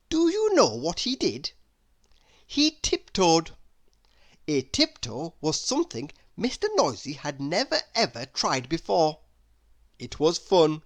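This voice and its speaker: A woman narrating a children's story expressively, with deliberate dramatic pauses. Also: on the low side.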